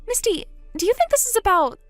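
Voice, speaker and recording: A woman's voice: very high-pitched, big pitch swings, a little fast, no echo, faint music behind, pitched above the performer's own voice. A woman voicing a frightened young woman trapped before a forced wedding, pleading and panicky. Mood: scared.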